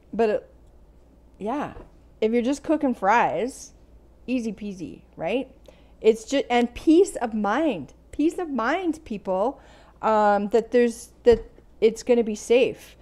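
A woman's voice: on the high side, darkish tone. A middle-aged woman chatting casually while demonstrating kitchen tools, repeating phrases and often tagging on "right?". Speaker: vlogger. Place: home kitchen, indoors. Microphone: earphone headset mic, phone held close.

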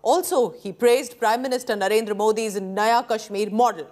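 A woman's voice: a little high, moderate pace, darkish tone. A woman in her thirties with an Indian accent, presenting television news from a studio. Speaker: news anchor.

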